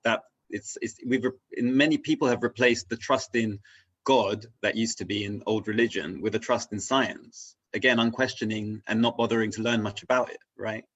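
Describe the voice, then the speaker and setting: A man talking casually and reflectively as a guest, haltingly searching for his words and often checking in with "right?". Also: fairly low pitch, steady tone, fairly bright tone, lo-fi sound, a little hiss or hum. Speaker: interview guest on spirituality.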